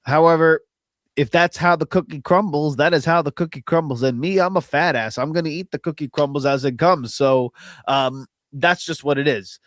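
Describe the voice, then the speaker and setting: A man's voice: on the high side, normal speed, a bit bright, no room sound, studio quality. Stuffy-nosed man rambling about football. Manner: rambling, opinionated, self-deprecating. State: stuffy, nasal from a cold. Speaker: podcast host. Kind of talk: casual solo sports commentary.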